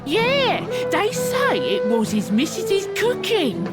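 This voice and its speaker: Audio comedy villager: actress as gossipy, gullible peasant woman, high and unhurried, loud music underneath. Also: wildly swooping pitch, full character voice. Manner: gossipy, folksy. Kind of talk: comedy audio drama acting.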